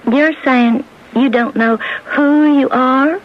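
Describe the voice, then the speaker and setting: A woman's voice: high-pitched, steady tone, takes it slowly. A woman acting in a radio drama as a kind, shrewd American lunch counter owner, frank and down-to-earth. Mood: curious, probing. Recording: muffled old radio broadcast.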